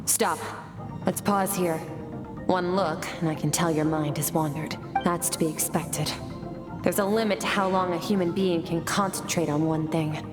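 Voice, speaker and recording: A woman voicing a cool, composed sword master mentor in a video game, measured and matter-of-fact. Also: fairly low pitch, steady tone, close to an everyday voice. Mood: calm, reassuring. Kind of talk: scripted video game dialogue. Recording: echoey game audio with music.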